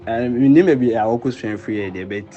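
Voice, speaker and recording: A man's voice: mid-range voice, normal speed, dark tone, highs cut off, faint music behind. A man making loose, offhand remarks.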